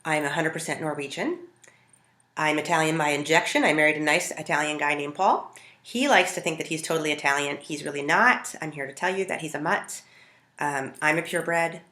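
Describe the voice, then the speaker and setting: An American woman from Montana telling stories casually to camera as a home cook, in a conversational, animated way. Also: low-pitched, brisk. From Montana, United States. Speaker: home cook hosting a food video. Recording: roomy, echoing sound.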